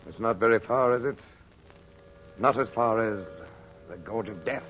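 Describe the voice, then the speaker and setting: An actor playing a cool, defiant captive magician in a 1940s radio serial, measured, wry and taunting. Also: male voice, fairly low pitch, a little hiss or hum, natural voice. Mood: ominous, taunting. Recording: muffled old radio recording, music behind.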